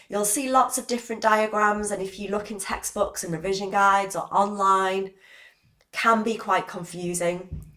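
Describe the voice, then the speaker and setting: A woman, hoarse from a cold, giving a live-streamed biology revision lesson and chatting with viewers by name. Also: mid-range voice, fairly bright tone. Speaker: biology teacher.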